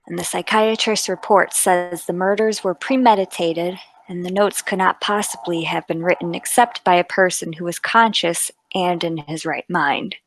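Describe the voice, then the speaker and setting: A woman playing a brisk, authoritative, businesslike Scotland Yard police inspector in a mystery radio play table read. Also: on the low side, fairly even intonation, no echo, rough recording.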